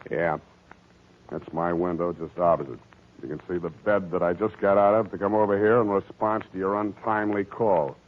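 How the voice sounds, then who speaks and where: An actor playing a wry, world-weary private detective in clipped 1940s radio style. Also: male voice, deep voice, steady tone, moderate pace, close to an everyday voice. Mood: stubborn. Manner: clipped, wry, matter-of-fact. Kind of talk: 1940s radio detective acting with first-person narration. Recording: tinny vintage radio broadcast, music behind.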